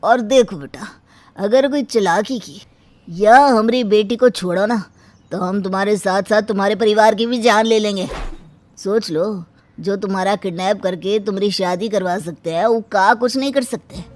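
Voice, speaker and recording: A woman's voice: mid-range voice, normal speed, a little mellow, close to an everyday voice. A woman playing a threatening, commanding village parent in a cartoon story. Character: menacing village parent forcing a marriage. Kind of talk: Hindi cartoon story voice acting.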